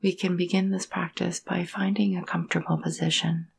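A woman leading a guided meditation in a soft, breathy, husky voice, gentle and soothing, with long pauses between phrases. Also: lowish voice, little pitch movement. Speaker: yoga nidra meditation guide.

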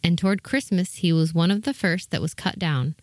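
A woman's voice: on the low side, fairly quick, dry recording, studio quality. A woman reading scripted storybook narration as the narrator of a fairy tale.

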